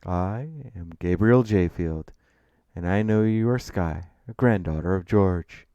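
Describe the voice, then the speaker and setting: A man voicing a refined, sly older gentleman who speaks formally and deliberately, for a story. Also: low-pitched, smooth, no room sound, highs cut off. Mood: courtly. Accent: refined New England.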